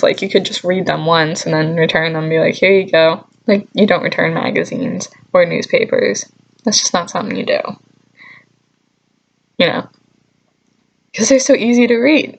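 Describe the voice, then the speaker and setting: A woman's voice: on the high side, highs cut off. A woman vlogger telling stories casually, with a joking, mock-scolding manner and dry asides. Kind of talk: casual comedic storytelling to camera.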